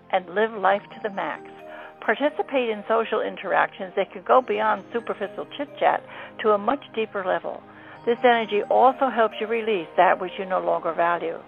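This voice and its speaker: Woman reading horoscopes for radio, gravelly fry, thin phone-like sound. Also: medium pitch. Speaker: astrologer presenting a horoscope segment. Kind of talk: scripted radio narration. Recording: phone-like narrow band, music behind. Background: music.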